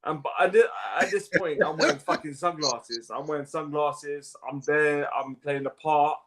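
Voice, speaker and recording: A man co-hosting a casual chat, telling an animated, slangy story. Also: on the high side, normal speed. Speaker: co-host.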